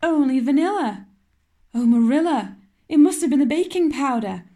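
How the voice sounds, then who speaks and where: A woman voicing an eager, chattering young girl who rushes her words and pleads. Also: medium pitch, gravelly, darkish tone, lightly acted. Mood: distraught. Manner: breathless, rushing, pleading.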